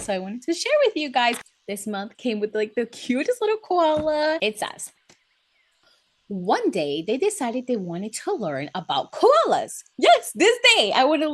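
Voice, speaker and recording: A woman with a fairly high voice that swings widely in pitch. She talks as a host to young children, chatty and gushing, encouraging them and guiding them along in simple, exclamatory phrases. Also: slight background noise. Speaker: children's read-aloud and drawing host. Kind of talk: chatty, child-directed read-aloud and step-by-step teaching.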